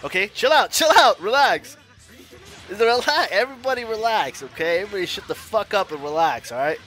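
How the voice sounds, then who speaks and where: A man in his forties talks through his online fighting game matches, muttering plans one moment and yelling at his opponent the next. His voice sits high and bright and swings widely in pitch. He curses freely and mocks himself between rounds, while game music plays faintly behind him. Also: lively intonation, fairly quick. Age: 40s. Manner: profane, self-mocking trash talk. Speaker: gaming YouTuber. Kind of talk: live gameplay commentary.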